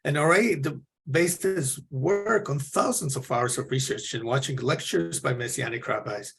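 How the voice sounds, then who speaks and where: Man, mid-pitch and gravelly, earnest forum host introducing a speaker. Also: moderate pace, fairly bright tone. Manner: earnest, with frequent fillers and restarts. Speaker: forum moderator. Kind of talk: moderator's introduction.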